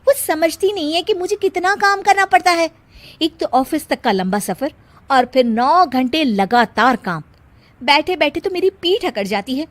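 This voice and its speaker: A woman doing a tired, irritable working mother from a family tale, full of complaints and defensive. The voice is high and swings a lot. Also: lively intonation, moderate pace, a bit bright, dry recording. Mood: complaining.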